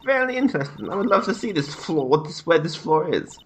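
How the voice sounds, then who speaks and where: A man's voice: a little high, sing-song, fairly quick, slight background noise. A man voicing a haughty young female prosecutor with a scornful, condescending delivery.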